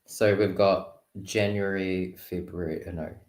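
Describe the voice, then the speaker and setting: A man with a low voice speaks casually as a guest in a conversation. He thinks aloud in a reflective, loosely phrased way. The sound is roomy, with noticeable echo around his words. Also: steady tone, unhurried, darkish tone. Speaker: guest tarot reader. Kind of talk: casual chat.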